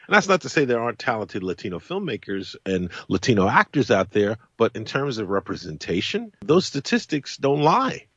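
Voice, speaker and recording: A man talks with a very lively, swinging pitch, hammering his points home by repeating them. The sound is dry and a little dull, with no room in it. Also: moderate pace, few pauses, slightly rough, no echo. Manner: emphatic, repeats phrases for stress. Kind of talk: casual chat.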